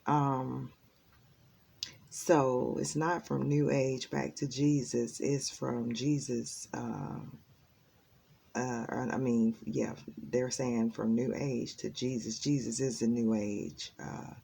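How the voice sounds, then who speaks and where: A woman giving a casual spiritual tarot reading in a raspy, just-woken voice, rambling and earnest with many ums. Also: a bass voice, fairly even intonation, takes it slowly, warm tone, a little hiss or hum. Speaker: tarot reader.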